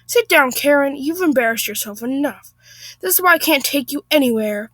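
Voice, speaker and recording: A woman's voice: high-pitched, lively intonation, normal speed, fairly bright tone, dry recording, rough recording. A woman voicing a weary, embarrassed husband in a story, subdued and placating as he scolds his wife. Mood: fed up.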